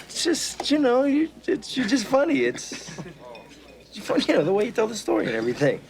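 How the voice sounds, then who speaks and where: An actor playing a nervous male friend who laughs and stammers placatingly, heard in a replayed film clip over loud crowd laughter. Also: very high voice, wildly swooping pitch, brisk, heavily acted. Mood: laughing.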